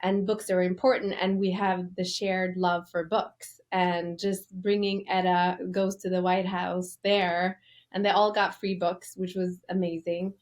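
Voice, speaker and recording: A woman's voice: fairly low pitch, little pitch movement, a little slow. A woman from Norway, an author interviewed as a guest, telling her story with enthusiasm and loose fillers.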